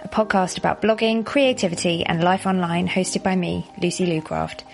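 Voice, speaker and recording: A woman hosting a podcast, chatty and quick to laugh. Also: fairly low pitch, fairly even intonation, gravelly, a little mellow, faint music behind. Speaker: podcast host. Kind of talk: casual interview chat.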